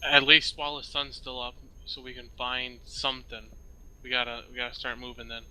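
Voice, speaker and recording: A man's voice: medium pitch, flat delivery, brisk, pushed and bright, slight background noise, poor audio. A man playing a role-play game, talking casually as he states his moves and questions. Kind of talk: casual game-table talk.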